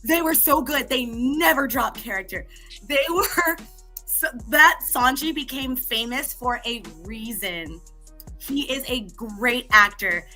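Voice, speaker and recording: A woman with a hoarse voice chats away as a podcast guest, laughing freely as she goes. Her tone is bright and forward and sits a bit high. She sounds like she is on a remote call mic. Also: takes it slowly, soft background music, poor audio. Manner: chatty, laughs often. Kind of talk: casual chat.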